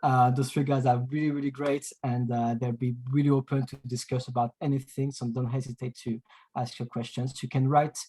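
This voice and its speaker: A man from France with a French accent, hosting an online webinar in a halting way, full of fillers and restarts, heard over a video call. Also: medium pitch, little pitch movement, mellow. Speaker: webinar host.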